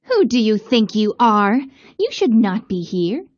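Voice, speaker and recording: Spiteful, haughty young storybook villainess, woman acting, slow drawn-out sneer, lo-fi. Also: medium pitch, very animated, a little mellow, no echo. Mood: scornful. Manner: bossy, sneering.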